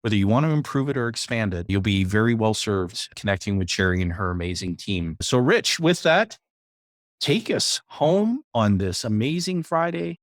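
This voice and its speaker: A man's voice: fairly low pitch, big pitch swings, normal speed, dry recording, studio quality. A man co-hosting a podcast, upbeat and encouraging as he plugs the guest's site. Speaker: podcast co-host. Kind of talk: casual chat and show promotion.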